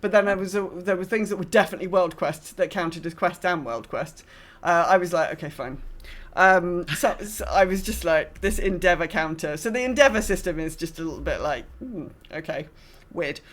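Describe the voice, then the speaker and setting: A woman's voice: fairly low pitch, normal speed, few pauses. A woman co-hosting a gaming podcast in casual chat, rambling and often correcting herself mid-sentence. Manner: rambling, self-correcting, quotes herself in asides. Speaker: podcast co-host.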